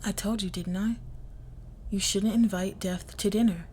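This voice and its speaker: Woman acting as slow, hushed, menacing mafia daughter. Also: mid-range voice, steady tone, slight background noise, natural voice. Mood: coldly resentful. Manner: hushed, slow, deliberate, breathy near-whisper. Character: cold, menacing young crime boss's daughter confessing murder. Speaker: audio roleplay voice actor. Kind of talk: intimate scripted audio roleplay, dramatic monologue.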